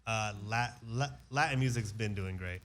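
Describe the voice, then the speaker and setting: A man's voice: fairly low pitch, normal speed, fairly bright tone. A man hosting a casual radio interview, chatty and prone to restarts and easy laughter. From Afghanistan.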